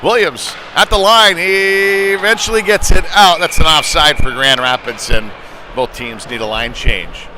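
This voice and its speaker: A man's voice: fairly high pitch, measured pace. Male hockey commentator over noisy rink. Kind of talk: live sports commentary. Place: indoor ice rink during a game. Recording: noisy arena, clatter and hum behind.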